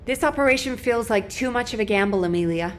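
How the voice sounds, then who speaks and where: A woman's voice: a little high, animated, quick pace, a little hiss or hum, close to an everyday voice. An actress playing a determined woman fighter with a wry, teasing streak. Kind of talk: game cutscene voice acting.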